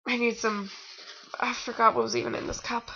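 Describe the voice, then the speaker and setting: A woman's voice: fairly high pitch, sing-song, fairly quick, sharp tone, slightly rough, lo-fi sound. A young adult woman giving a mocking amateur fan fiction reading with rambling, exasperated, theatrical asides, sounding a bit tired. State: tired, sore nose.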